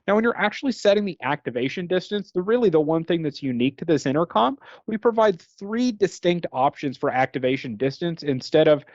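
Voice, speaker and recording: A man's voice: on the high side, expressive, a little fast, few pauses, a little mellow, dry recording. A man giving an explanatory product training talk as a presenter. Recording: dull, band-limited recording.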